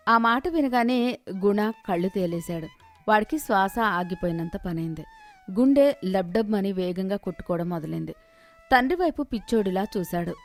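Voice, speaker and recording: A woman's voice: mid-range voice, lively intonation, normal speed. Woman narrating a moral story over music.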